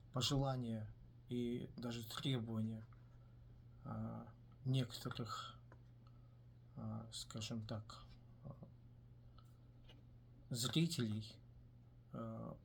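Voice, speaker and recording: A man in the middle of the usual pitch range talks through his points slowly and deliberately. He fills his pauses with drawn-out hesitation sounds. A faint background hum sits behind him. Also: creaky. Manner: measured, with frequent hesitant "a" fillers between phrases. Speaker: commentator on his own video channel. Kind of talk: solo video commentary. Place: based in the United States.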